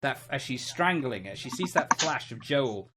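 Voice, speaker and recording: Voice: mid-range voice, lively intonation, moderate pace, pushed and bright, slight background noise. Emphatic podcast co-host in casual discussion. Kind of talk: casual video game discussion.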